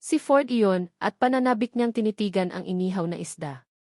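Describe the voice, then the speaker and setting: A woman reading a novel aloud as scripted narration, with measured delivery. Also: medium pitch, darkish tone, no room sound, studio quality. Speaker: audiobook narrator.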